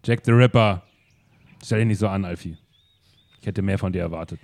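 A man playing a weary, gruff older detective with a terse, deadpan manner in an improvised comic audio drama. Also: low voice, brisk. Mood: gruff, scolding.

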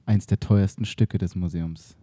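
Ein Mann, Gastgeber eines Podcasts, erzählt ruhig und gemessen ein Kriminalhörspiel. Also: male voice, deep voice, dry recording. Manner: calm, measured storytelling. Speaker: podcast host and narrator. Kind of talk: scripted narration.

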